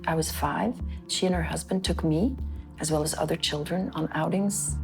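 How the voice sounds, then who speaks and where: Woman, 50s, low gravelly voice, calm, music under it. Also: moderate pace, rough recording. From Belgium. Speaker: trafficking survivor. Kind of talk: interview testimony.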